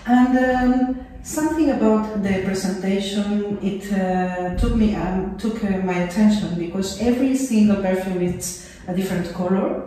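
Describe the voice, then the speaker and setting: Mellow-voiced woman interviewer, echoey room. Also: mid-range voice. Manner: halting with many fillers. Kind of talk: interview questions and backchannels. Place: office room. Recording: echoey room with faint noise.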